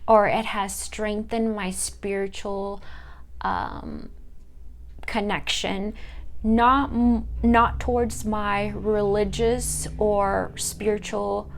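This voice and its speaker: A young woman whose first language is Italian, giving a reflective personal monologue for a class, halting often to search for words. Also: medium pitch, fairly even intonation, slight background noise. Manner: halting, searching for words with many fillers and restarts. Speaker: student.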